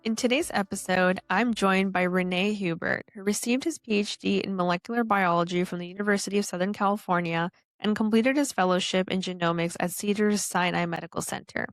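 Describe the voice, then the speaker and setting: A woman co-hosting a podcast, giving a polished, clear scripted introduction and then asking interview questions. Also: medium pitch, steady tone, fairly quick, few pauses, dry recording. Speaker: podcast co-host.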